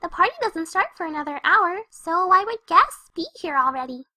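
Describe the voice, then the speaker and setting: A hyperactive young female cartoon pony, extremely high and very animated. She chatters cheerfully and breezily to the listener, scatterbrained and party-loving, in a dry, close recording. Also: moderate pace, dry recording, heavily acted. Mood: excited, chatty. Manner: bubbly, chatty, breezy narrating straight to the listener. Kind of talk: fan fiction audio reading in cartoon character.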